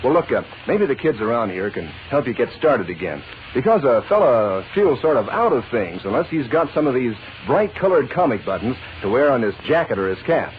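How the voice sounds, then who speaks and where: Lively male 1940s radio pitchman. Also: fairly quick. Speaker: announcer and ad pitchman. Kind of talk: enthusiastic 1940s radio ad reading to children. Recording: muffled old radio recording, faint hiss.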